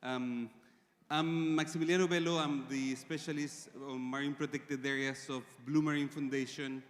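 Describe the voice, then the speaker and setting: A Chilean man presents to a conference audience in English, which is not his first language. His pitch sits in an ordinary middle range, and he talks in measured phrases with frequent pauses. His voice carries a long reverb from the big hall. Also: steady tone. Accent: Chilean Spanish. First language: Spanish. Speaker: conference speaker.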